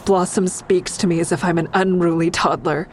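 A low-pitched actress playing a young woman in an audio drama, defiant and distraught as she tells her own story. There is clear background noise around her voice. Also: fairly even intonation, normal speed, lightly acted. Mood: tense narration. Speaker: narrator and lead character. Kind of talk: audio drama narration and dialogue.

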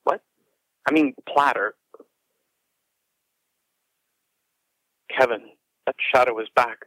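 An anxious, tender partner in an audio drama, talking into voicemail with a medium-pitched voice. He hesitates and rambles, stumbling over his words. The telephone sound is dry, with no room around it. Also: moderate pace, fairly bright tone, dry recording, poor audio. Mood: confused, alarmed.

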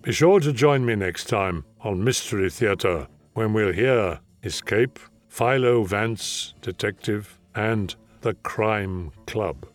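A man hosting a radio show, reading scripted announcements in a measured, formal way. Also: on the low side, soft background music.

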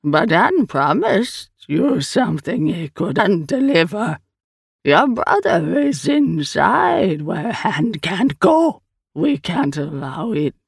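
A woman voicing a stern, guarded creature in an audiobook story, with drawn-out, deliberate speech. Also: lowish voice, very animated, creaky, slightly rough, dry recording, clean studio sound, full character voice. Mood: apologetic, firm. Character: the Eye, floating many-armed creature, slow, stern, guarded.

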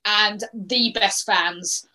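A woman's voice: mid-range voice, fairly even intonation, drawn-out words, pushed and bright. A woman, a guest on a football podcast, talking with fervent, emphatic passion about her team. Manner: passionate, emphatic supporter talk. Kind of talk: casual podcast chat.

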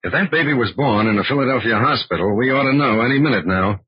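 Efficient G-man, rapid delivery, very husky, vintage radio crime drama, muffled recording. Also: medium pitch, dry recording. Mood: businesslike. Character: brisk, methodical male federal agent. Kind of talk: old-time radio drama acting. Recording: dull, band-limited old radio recording.

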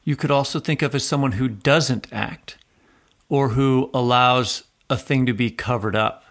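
A man's voice: medium pitch, gravelly, a bit bright. A man co-hosting a conversational literary podcast, reasoning aloud in a tentative way as he interviews.

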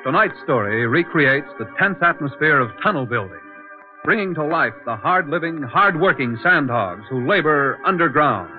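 A man with a slightly high voice narrates like a 1940s radio announcer. His delivery is portentous and declamatory. The recording is thin and tinny, with music playing clearly behind him. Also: expressive, normal speed. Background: dramatic music.

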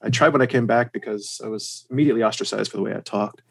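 A man with a general American accent, chatting casually as a podcast guest in a hesitant, halting way. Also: on the low side, gravelly. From United States and Germany. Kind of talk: casual chat.

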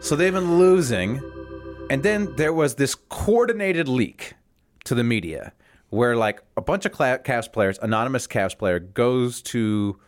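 Animated man talking sports. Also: medium pitch, measured pace, soft background music. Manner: animated, laughs often, acts out quotes. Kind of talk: casual sports chat.

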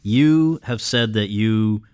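A male interviewer with a low voice asks long, careful questions. He builds each one slowly and then hands the floor to his guest. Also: darkish tone, clean tone. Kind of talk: conversational interview.

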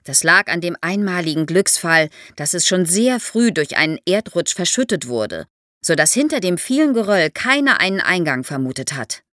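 A woman's voice: lowish voice, very animated, normal speed, a bit bright, no room sound, clean studio sound. A middle-aged woman speaking as an expert guest, explaining things in a podcast conversation.

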